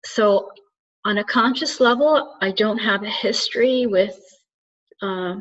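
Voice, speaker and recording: A woman from Turkey, a spiritual coach, talking alone to camera in an earnest, soft-spoken way, often pausing and restarting to find her words. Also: medium pitch. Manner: halting, searching for words, frequent restarts. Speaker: spiritual channeler and soul coach. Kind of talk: reflective solo monologue to camera. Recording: slightly dull lo-fi recording.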